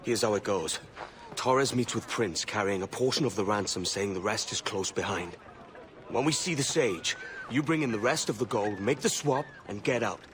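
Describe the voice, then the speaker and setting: An actor playing a brash, roguish young pirate captain in a cinematic video game. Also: male voice, lowish voice, normal speed, fairly bright tone, a little hiss or hum, lightly acted. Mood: scheming.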